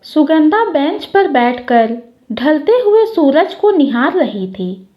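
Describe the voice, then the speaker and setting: A woman's voice: high voice, big pitch swings, slow pace, highs cut off. A woman narrating a scripted dramatic short story as a storyteller.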